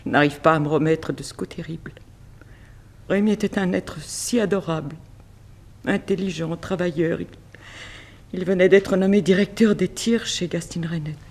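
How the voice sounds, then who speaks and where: Dignified, grieving fashion house director. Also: female voice, on the low side, moderate pace, a little mellow. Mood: formal sorrow. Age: middle-aged. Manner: formal, sorrowful, theatrical. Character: bereaved mother, dignified head of a fashion house. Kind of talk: 1960s French radio mystery acting.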